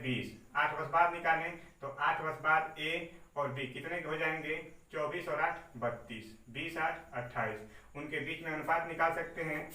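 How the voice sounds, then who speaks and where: Male tutor in his twenties, fairly high voice, step-by-step exam lesson, roomy echo. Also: bright, forceful tone. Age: 20s. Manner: explains step by step with questions. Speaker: teacher. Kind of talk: exam-prep maths lesson. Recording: echoey room, mic far off.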